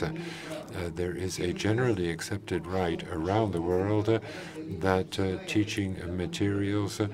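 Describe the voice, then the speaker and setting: A man with a very low voice delivers a formal statement at a conference, stopping often to fill gaps with hesitant sounds. He is from Ukraine and speaks for a civic group. A crowd murmurs audibly in the hall around him. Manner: halting, frequent uh fillers. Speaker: NGO coordinator speaking at a conference session.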